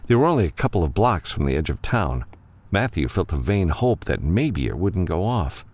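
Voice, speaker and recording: A man's voice: low-pitched, sing-song, normal speed, darkish tone. A man narrating an adventure novel as an audiobook, reading scripted prose dramatically.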